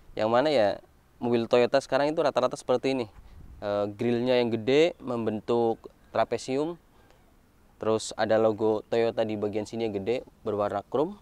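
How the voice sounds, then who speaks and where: A man's voice: medium pitch, a little mellow, smooth, no room sound. A man giving a casual walkaround car review, explaining things informally point by point.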